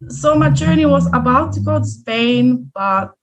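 A woman's voice: high-pitched, lively intonation, measured pace. A young woman from southeast Finland with a Finnish accent, a chef answering interview questions in an earnest way with hesitant restarts. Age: young adult. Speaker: guest chef.

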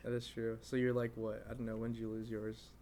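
A man's voice: lowish voice, monotone, brisk, mellow, a little hiss or hum. A man in his twenties co-hosting a casual podcast, rambling with lots of fillers and laughing often. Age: 20s. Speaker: podcast co-host. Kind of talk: casual chat.

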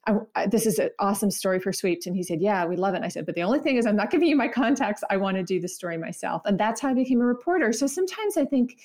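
A woman with a mid-range voice hosts a relaxed panel conversation. She tells stories with energy and quick asides. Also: medium pitch. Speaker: panel host, former TV reporter. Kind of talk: casual panel chat.

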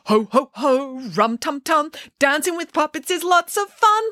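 A woman's voice: mid-range voice, big pitch swings, moderate pace, a bit bright, no room sound, clean studio sound, pitched above the performer's own voice. A woman voicing a naive, whiny little puppet boy from a children's storybook, childlike and wailing in manner. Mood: gleeful singing.

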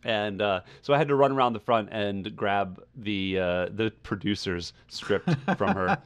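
A man's voice: lowish voice, lively intonation, unhurried, clear, resonant tone, dull audio. Man in casual podcast conversation. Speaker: podcast co-host. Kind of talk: casual chat and personal anecdotes.

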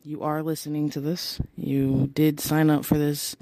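A woman hosting a rambling solo podcast on her phone, sounding tired, with a raspy, deep voice and a chatty, playfully flirty way of talking, apologizing again and again. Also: flat delivery, a little slow, warm tone, no room sound. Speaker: podcast host. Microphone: phone held close.

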